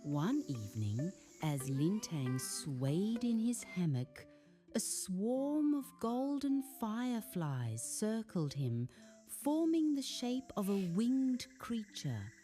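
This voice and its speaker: Female bedtime storyteller, soothing and expressive, mellow tone, very varied pitch. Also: slow pace, faint music behind. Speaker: children's bedtime story narrator. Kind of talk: scripted storytelling narration.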